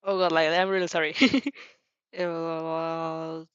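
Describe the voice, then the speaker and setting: Slow-paced, giggly woman, muffled mic. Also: deep voice, level tone, clean tone, no echo. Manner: giggly, apologetic, scattered and self-interrupting. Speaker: host of a fan fiction reaction show. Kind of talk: casual reaction chat.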